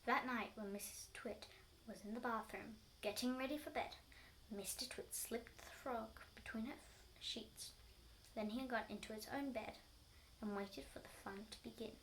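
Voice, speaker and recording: Woman reading a kids book out loud, bit halting, highish voice, some noise behind. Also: rough recording. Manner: halting, often rereads words. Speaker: storybook reader. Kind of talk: reading a children's story aloud.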